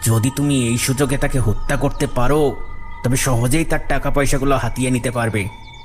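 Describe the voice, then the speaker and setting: A man's voice: mid-range voice, fairly even intonation, moderate pace, soft background music, lightly acted. A man voicing a sly cartoon devil who coaxes and persuades with wicked advice. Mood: sly coaxing. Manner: coaxing, persuasive.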